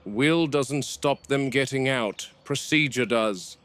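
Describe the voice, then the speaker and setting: An actor playing a stern, blunt park game warden in a found-footage horror drama, speaking tersely and dryly. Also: male voice, mid-range voice, slow pace, lightly acted. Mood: firm, grim. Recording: noisy degraded VHS tape sound.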